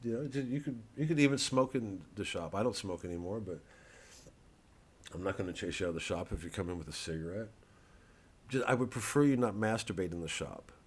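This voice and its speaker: A man's voice: lowish voice, fairly quick, breathy edge. A man giving a rambling, casual solo monologue as a comedian plugging his work, irreverent, digressive and profane. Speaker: comedian promoting his show and shop.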